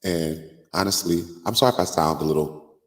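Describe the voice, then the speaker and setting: Deep-voiced congested man chatting live. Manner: chatty, drawn-out fillers like "like" and "um". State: congested from a cold. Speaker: live stream host. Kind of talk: casual live stream chat. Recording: long room reverb.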